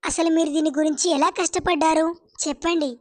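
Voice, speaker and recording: A woman's voice: very high-pitched, flat delivery, quick pace. A woman voicing an upbeat TV reporter doing an interview in a cartoon story. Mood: upbeat, curious. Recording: clearly noisy, with clicks.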